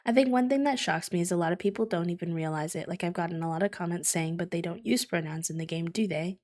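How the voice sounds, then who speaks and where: Slightly croaky, low-voiced woman streamer. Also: fast talker, darkish tone. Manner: thoughtful, hesitant, many fillers. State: slightly croaky, just woke up. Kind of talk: casual livestream chat while playing.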